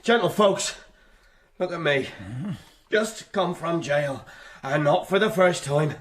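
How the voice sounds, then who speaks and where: Defiant high-voiced poor country labourer. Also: male voice, slow pace. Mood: earnest pleading. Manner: plain rustic speech, emphatic pleading, bitter. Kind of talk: radio drama acting.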